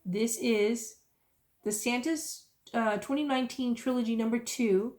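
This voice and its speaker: A woman's voice: on the high side, a little slow, darkish tone. A woman, chatting casually and rambling into folksy, self-amused asides in her stitching vlog. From South Texas. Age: 50s. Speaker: stitching vlogger.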